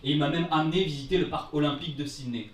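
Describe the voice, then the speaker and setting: A man's voice: on the high side, fast talker. A man in his twenties from France telling a comic personal story on stage in a casual, self-mocking, theatrical way. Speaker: amateur storyteller at a live travel-story event. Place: indoor live storytelling event before an audience. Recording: roomy live venue, distant microphone.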